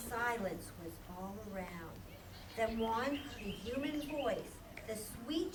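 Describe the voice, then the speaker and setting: A high-voiced woman tells a scripted story as narrator of a children's puppet show. She is recorded from a distance in an echoey room, with clear background noise.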